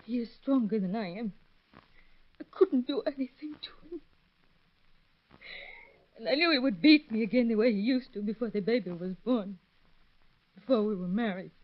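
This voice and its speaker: High-voiced anguished young mother played by a woman. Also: close to an everyday voice. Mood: bitter, haunted. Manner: halting, distraught, melodramatic. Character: anguished, abused young mother confessing to a crime. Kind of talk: 1930s radio acting. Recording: muffled old 1930s radio recording.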